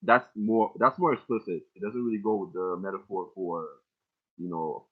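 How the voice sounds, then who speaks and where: A man's voice: low voice, steady tone, normal speed. A young man casually breaking down his own song lyrics line by line, rambling and swearing freely. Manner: loose, rambling, heavy casual profanity. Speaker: rapper explaining his lyrics. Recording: tinny phone-like audio.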